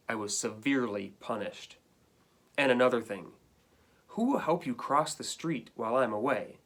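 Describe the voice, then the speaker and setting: A man's voice: medium pitch, fairly bright tone. A man reading a storybook as an indignant, put-upon pet dog, complaining in a dramatic, emphatic way. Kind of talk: storybook read-aloud.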